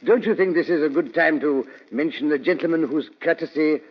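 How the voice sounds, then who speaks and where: An actor playing a genial, fussy older English gentleman storyteller in old radio drama, with a British accent and chatty, old-fashioned phrasing. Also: on the high side, brisk, breathy edge. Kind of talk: 1940s radio drama acting. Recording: muffled old radio broadcast, reverberant.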